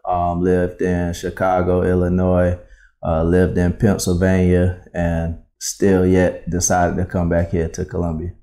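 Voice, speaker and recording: Deep, flat-voiced man. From South Carolina. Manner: measured, polite, with frequent ums. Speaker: business owner guest. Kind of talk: relaxed interview storytelling.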